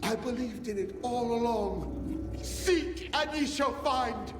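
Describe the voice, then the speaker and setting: High-voiced, fervent true believer. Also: male voice, slow pace, distant mic. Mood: reverent, fervent. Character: fervent, commanding true believer and faction leader. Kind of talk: video game cutscene acting. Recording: echoey hall, music behind.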